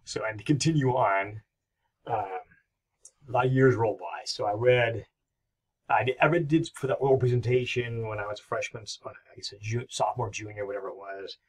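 A man's voice: on the low side, brisk, husky. A middle-aged man giving a recorded history lecture from his home office, talking in a rambling, enthusiastic way with many fillers. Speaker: history professor.

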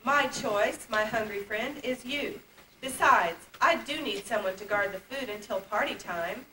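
A woman's voice: fairly high pitch, measured pace, pushed and bright. A woman playing a kindly, reassuring young princess in an amateur puppet play, speaking earnestly. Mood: amused, firm. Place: indoor puppet stage, far camera. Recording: distant, echoey, noisy old camcorder tape.

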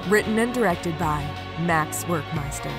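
A woman's voice: deep voice, expressive, a little slow. A woman presenter reading scripted credits for an audio drama. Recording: music under the voice.

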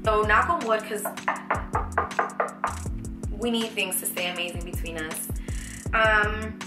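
A young woman in her twenties, chatty and animated, answering viewer questions in a casual vlog from a small bedroom. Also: medium pitch, slow, reverberant, loud music behind the voice. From United States. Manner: chatty, animated, lots of fillers and restarts. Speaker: vlogger. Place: small bedroom with shelves, indoors.

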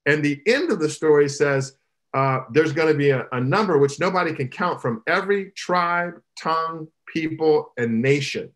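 Emphatic middle-aged pastor on a video call. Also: male voice, fairly high pitch, lively intonation, normal speed, fairly bright tone. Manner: emphatic preaching cadence, gestures broadly. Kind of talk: animated panel discussion. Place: home room, indoors. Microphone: video call, webcam from below.

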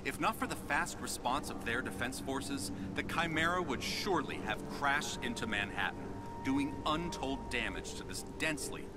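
A man's voice: medium pitch, expressive, moderate pace, over loud music, lightly acted. A man playing a grave middle-aged television news reporter, solemn and declamatory, in a video game.

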